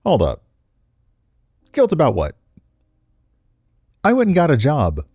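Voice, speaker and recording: A man casually commenting and reading aloud as he streams a visual novel, chatty and expressive. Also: fairly high pitch, takes it slowly, husky, no room sound, clean studio sound, faint music behind. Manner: chatty, expressive, reacts with asides to what is read. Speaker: streamer, let's player.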